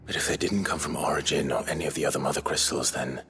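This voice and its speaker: An actor playing a grave, earnest male hero in a fantasy video game, measured and serious. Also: rumbling low, a bit bright, slight background noise. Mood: pondering, troubled. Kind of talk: video game dramatic dialogue.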